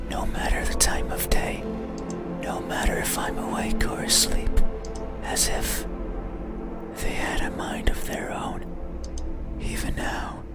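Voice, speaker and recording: Slow whispering monster, acted by a man. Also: lightly acted. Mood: hungry, sensual. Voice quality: breathy whisper. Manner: slow, deliberate, poetic. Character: eerie whispering midnight monster with long clutching fingers. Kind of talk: horror visual novel monologue.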